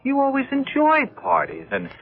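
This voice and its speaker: An ambitious husband pleads his case with hugely animated intonation. He is exasperated and persuasive, in seventies radio drama style on a muffled, narrow recording. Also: extremely high pitch, normal speed, exaggerated voice. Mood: exasperated, coaxing. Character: ambitious company husband, exasperated but coaxing. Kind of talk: 1970s radio drama acting. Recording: muffled, band-limited vintage radio recording.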